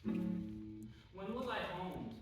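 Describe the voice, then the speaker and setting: An actor playing a childlike adult younger brother, innocent and clingy, who speaks in a slow, simple way. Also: male voice, lowish voice, sing-song, loud music behind the voice, exaggerated voice. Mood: innocent, eager. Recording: echoey room, distant mic, noisy.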